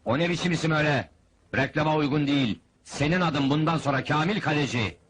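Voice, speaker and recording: An actor playing a wily, bossy fairground boss in a comedy film, with pompous huckster patter. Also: male voice, a little high, quick pace, close to an everyday voice. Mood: bossy, wheedling. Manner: loud huckster patter, pompous mock-formal announcements. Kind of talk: comic film dialogue and fairground barking.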